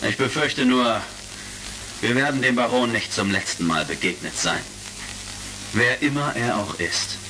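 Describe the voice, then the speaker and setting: An actor playing a confident, friendly space commander hero in a retro sci-fi audio drama, earnest and heroic. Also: male voice, medium pitch, unhurried, pauses often, close to an everyday voice. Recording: faintly crackly vintage record.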